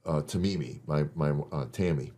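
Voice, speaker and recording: A man's voice: rumbling low, moderate pace, mellow. An older man and guest comedian telling stories in a casual, rambling chat, often repeating words as he starts a thought.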